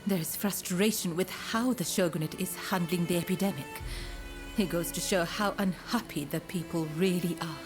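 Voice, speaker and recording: An actress playing a gentle, courteous woman of a Japanese pleasure district in a video game, speaking softly and formally in measured, breathy phrases. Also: fairly low pitch, close to an everyday voice. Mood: somber, earnest. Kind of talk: scripted dramatic game dialogue. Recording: music behind the voice.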